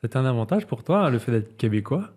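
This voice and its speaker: Animateur de podcast, homme de voix moyenne, ton détendu et familier. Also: male voice, medium pitch, a little fast. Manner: relaxed, friendly questioning with fillers. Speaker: podcast host. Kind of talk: casual interview.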